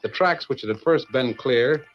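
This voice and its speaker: A man's voice: medium pitch, normal speed. A man giving suspenseful, declamatory scripted narration in the style of an old-time radio serial. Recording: dull vintage radio, music behind.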